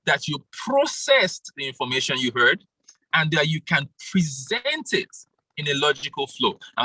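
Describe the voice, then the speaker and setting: A man leads an online speaking workshop over a video call, lively and quick to laugh as he calls on people by name. His voice sits a little high and rings bright and forceful. The sound is dry and close. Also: husky, dry recording, low-quality recording. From Nigeria. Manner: animated, laughs often, calls on participants by name. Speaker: public speaking coach. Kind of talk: interactive online workshop talk.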